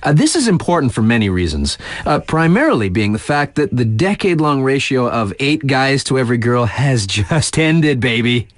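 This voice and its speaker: An actor playing a laid-back, wry mountain-town radio DJ in a video game, reading mock local news with casual, slangy deadpan asides. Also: male voice, medium pitch, normal speed, hardly any pauses, dry recording, close to an everyday voice. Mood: playful, wry.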